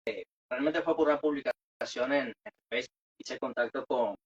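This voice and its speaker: Excitable Venezuelan man, muffled radio sound. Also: mid-range voice, normal speed, recorded from a distance. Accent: Venezuelan Spanish. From Caracas, Venezuela. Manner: animated storytelling, excitable. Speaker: band member being interviewed. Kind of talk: casual radio interview. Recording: dull, muffled radio audio.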